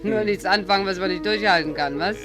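An actress playing a cheeky, quick-tongued young barmaid with brassy, teasing banter in a 1950s radio play. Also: female voice, mid-range voice, lightly acted. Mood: cool, sarcastic. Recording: old radio drama with prominent music.

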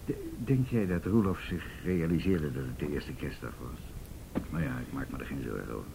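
A man acting in a radio drama as a droll, easygoing police officer, deadpan and teasing with hesitant pauses. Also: gravelly. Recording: noisy rumbly radio drama recording.

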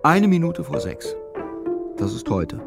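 A man narrating a literary audio drama in measured, clipped, matter-of-fact phrasing. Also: a little high, sing-song, vocal fry. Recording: music behind the voice. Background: music.

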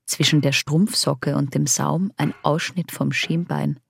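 Creaky, low-voiced, resentful young daughter. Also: female voice, fairly even intonation, unhurried, exaggerated voice. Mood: detached, observant. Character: young daughter's inner voice, observant, dry, resentful. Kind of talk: intimate radio drama inner monologue.

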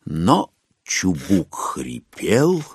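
A man narrating a literary audio drama in an expressive, wry storytelling style. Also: low voice, very slow, husky, dry recording, clean studio sound.

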